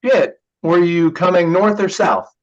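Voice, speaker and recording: A man's voice: high-pitched, measured pace. A man acts out an evasive young man in a stage play, a joking brother covering for a secret drinking habit. The delivery is naturalistic and conversational, and the sound is dull and lo-fi, as if over a video call. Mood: casual, joking. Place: remote online staged reading.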